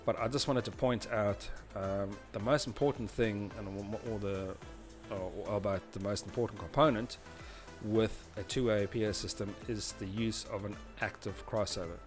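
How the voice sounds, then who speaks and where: A man with a fairly low voice talks through a technical tutorial as its presenter. His delivery is informal and halting, and he often rephrases as he explains. Music can be heard behind him. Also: steady tone. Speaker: presenter of an audio engineering tutorial. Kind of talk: informal explanatory tutorial narration over diagrams.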